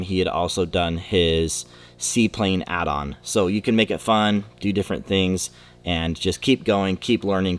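A man in his thirties, a flight instructor, explaining rules to camera in a relaxed, conversational way from a hangar. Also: sits low. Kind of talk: casual instructional talk to camera. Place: aircraft hangar, indoors. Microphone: close camera, seated at desk.

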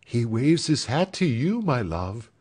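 A man's voice: medium pitch, big pitch swings, a little slow, a little mellow, breathy edge. A man voicing a composed young woman who speaks tenderly to her family. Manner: tender, urging. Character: gentle, composed young woman, loving elder sister.

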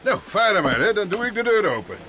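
An actor playing an eccentric old scientist living alone by the sea, gruff at first, then jovial and hearty, on an old radio play tape with hiss. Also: male voice, sits high, very animated, quick pace. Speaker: Professor O'Hara. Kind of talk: 1960s radio drama acting.

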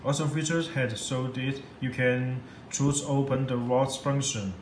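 A man giving an unscripted tutorial in halting, repetitive, simple English. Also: mid-range voice, steady tone. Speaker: product demonstrator. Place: indoor room at a computer desk. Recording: echoey room with background noise.

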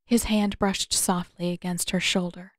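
A woman reading scripted fiction aloud as a narrator, with expressive, dramatic delivery. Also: lowish voice, a little slow, dry recording, clean studio sound. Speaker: audiobook narrator of fan fiction.

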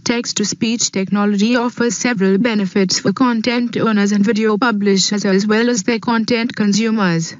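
A woman's voice: medium pitch, fairly even intonation, moderate pace, few pauses, no echo. A woman's synthetic text-to-speech voice reading scripted narration. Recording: dull lo-fi sound.

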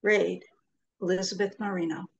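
A woman in her sixties giving a measured, dramatic poetry reading over a video call from a room at home. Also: lowish voice, little pitch movement, creaky, slightly rough, dull audio. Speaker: poet. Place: small home room with bookshelves. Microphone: computer mic on a video call.

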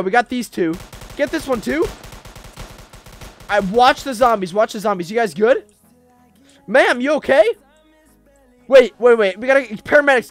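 A young American man giving excitable roleplay gameplay commentary, often repeating phrases urgently, at a desk microphone indoors. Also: high-pitched. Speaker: gaming video host. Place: indoor gaming room.

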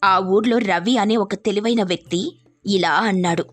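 A woman's voice: mid-range voice, moderate pace. Woman narrating a moral story, music behind.

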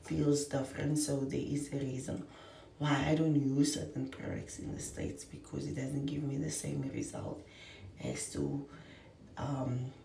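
Low-voiced woman, echoey room. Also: lively intonation, unhurried, gravelly, mellow, distant mic, slight background noise. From South Africa. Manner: chatty, rambling, with many fillers and restarts. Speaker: vlogger. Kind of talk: casual vlog talk to camera. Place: small basement apartment, indoors. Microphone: camera on a table at close range.

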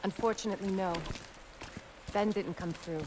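An actress playing a cool, guarded, commanding young secret agent in a video game cutscene. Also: female voice, medium pitch, steady tone, moderate pace, background noise, lightly acted. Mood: guarded, matter-of-fact. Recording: loud background ambience.

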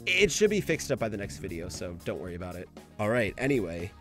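A man's voice: on the low side, very animated, fast talker, soft background music. A young adult man narrating a scripted video essay in a casual style. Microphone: home microphone, voice-over.

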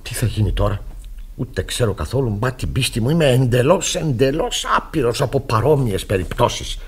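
Moralistic pastor, classic Greek radio theatre: husky, pompous, easily shocked, lively swings in pitch. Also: male voice. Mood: flustered, shocked. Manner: pompous, flustered, hesitant.

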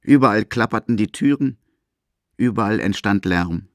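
A man's voice: fairly low pitch, normal speed, no echo, studio quality. A man reading scripted literary narration as the narrator of a radio play.

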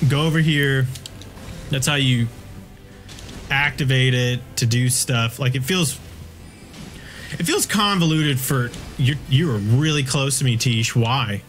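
A man in his thirties chatting casually while playing a video game, swearing freely and often restarting his sentences in a rambling, halting way. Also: medium pitch, a bit bright, faint music behind. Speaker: video game player and co-host. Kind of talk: casual gaming chat.

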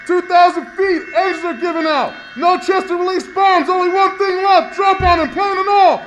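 A man's voice: very high voice, steady tone, moderate pace, a bit bright, a little hiss or hum, poor audio. A man playing a resolute bomber pilot in a 1930s-style radio play, giving clipped military reports. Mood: desperate. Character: resolute male army bomber pilot reporting from his cockpit.

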